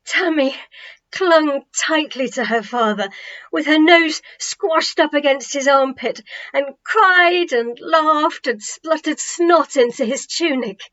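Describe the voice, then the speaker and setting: High-voiced woman reading a children's story. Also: takes it slowly, fairly bright tone, dull audio. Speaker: author-narrator reading a children's novel. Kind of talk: expressive storybook narration.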